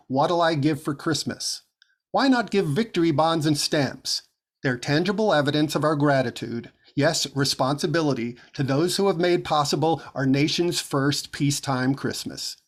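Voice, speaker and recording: A lightly high-pitched male radio comedian played by an actor, dry and put-upon. He hosts in a 1940s comedy style with an exasperated edge. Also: moderate pace, close to an everyday voice. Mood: earnest.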